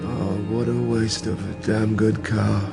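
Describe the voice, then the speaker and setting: A man's voice: lowish voice, level tone, a little slow, creaky, loud music behind the voice. An actor playing a cold, scornful male terrorist bomber in a radio drama. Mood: tense, smug.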